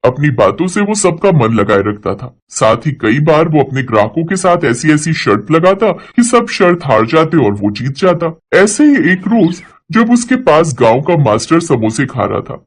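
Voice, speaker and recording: A male narrator reads a scripted cartoon story. His voice sits fairly high and moves with lively, animated intonation. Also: moderate pace, hardly any pauses.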